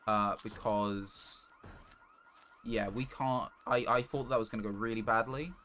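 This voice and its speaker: A man's voice: lowish voice, steady tone, a little slow, pushed and bright, a little hiss or hum. A man livestreaming a video game, casually talking through his plans to viewers and swearing now and then. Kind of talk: casual live game commentary.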